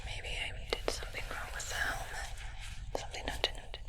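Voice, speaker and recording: A woman playing a curious, clinical alien technician in an ASMR roleplay, whispering breathily and questioning in a hushed, muttering way. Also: normal speed, lightly acted. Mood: puzzled, muttering.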